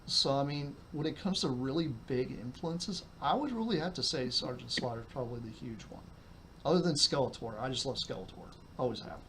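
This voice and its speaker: Man, casual podcast talk, bright tone, rambling, faint background noise. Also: medium pitch, a little fast. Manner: rambling, lots of fillers. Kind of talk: casual chat.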